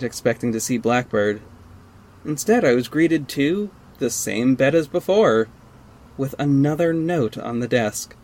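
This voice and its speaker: A man acting as a guarded, sardonic costumed vigilante in an audio drama, measured and terse, with an exasperated edge. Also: medium pitch, a little mellow, close to an everyday voice. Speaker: narrator and lead actor. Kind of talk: first-person audio drama narration and dialogue.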